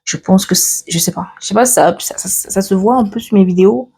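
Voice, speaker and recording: Husky, mellow-voiced young woman chatting. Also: medium pitch, fairly quick. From Cameroon. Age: 20s. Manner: chatty, many fillers and restarts, reads from notes. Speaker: vlogger. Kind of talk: casual get-to-know-me chat.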